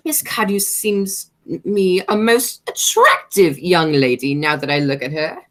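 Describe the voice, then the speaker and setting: A woman's voice: lowish voice, a little slow, fairly bright tone. A woman playing an imperious, snobbish English aunt in a radio comedy, pompous and declamatory, stumbling now and then over the words. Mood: suddenly charmed. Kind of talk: stage comedy as amateur radio play.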